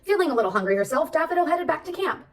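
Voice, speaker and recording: A woman reading a children's storybook aloud as narrator, expressive and addressing young listeners. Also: high voice, racing, distant mic. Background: background music.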